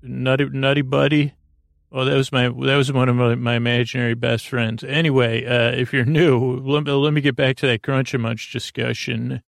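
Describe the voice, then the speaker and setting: Male sleep-podcast host, creaky and soothing, meandering and self-interrupting, recorded close and dry. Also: mid-range voice, level tone, no echo. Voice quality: creaky, lulling tone. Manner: halting, digressive, self-interrupting. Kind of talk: rambling, meandering bedtime monologue.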